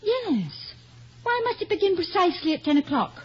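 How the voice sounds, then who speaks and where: An actress playing a college president's poised, teasing wife in a radio comedy, dry and playful. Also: female voice, very high-pitched, very animated, moderate pace. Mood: doubtful. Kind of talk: 1950s radio comedy acting. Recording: muffled, noisy old radio broadcast.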